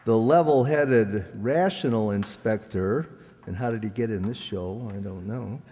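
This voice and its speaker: A man's voice: fairly low pitch, measured pace, warm tone. A man reading out cast credits to an audience as announcer, with joking asides. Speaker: announcer of a community radio players' show. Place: large hall stage, indoors. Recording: echoey hall, audience noise.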